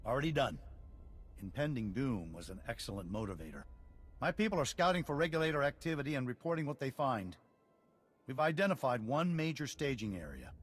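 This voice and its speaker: Earnest rebel leader, husky, medium pitch, measured, wry, game cutscene. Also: male voice, animated, soft background music. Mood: grave. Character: earnest, grave male colonial resistance leader. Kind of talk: video game cutscene acting.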